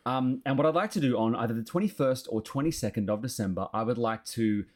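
Fast-talking Australian man hosting a podcast. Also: medium pitch, a little mellow. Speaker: podcast host. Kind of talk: casual podcast chat.

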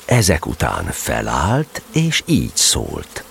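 A man playing a wry, patient narrator telling a children's story in a radio play, with a playfully deliberate delivery. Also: a bass voice, huge pitch swings, gravelly, a little hiss or hum, exaggerated voice.

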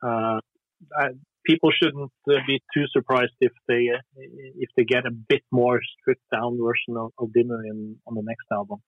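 A Norwegian musician talking casually in an interview, hesitant, with lots of fillers and restarts. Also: male voice, medium pitch, level tone, moderate pace, no room sound. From Norway. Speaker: musician guest. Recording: dry tinny phone line.

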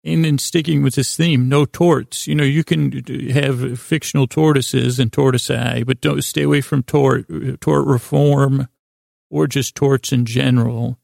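A man hosting a bedtime podcast in a creaky, gravelly voice, rambling and halting, repeating himself and wandering into absurd asides. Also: mid-range voice, steady tone, darkish tone, dry recording, studio quality. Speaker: podcast host. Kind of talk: rambling bedtime monologue to help listeners sleep.

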